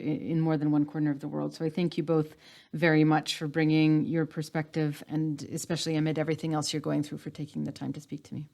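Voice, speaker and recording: A woman hosting a podcast, speaking in a measured, articulate way as she introduces guests and frames questions. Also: sits low, dark tone. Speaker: podcast host.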